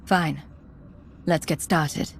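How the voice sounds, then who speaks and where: A woman playing a cool, steely imperial spy in a video game, deliberate and provocative. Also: low voice, fairly even intonation, gravelly, slight background noise, heavily acted. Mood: cool resolve.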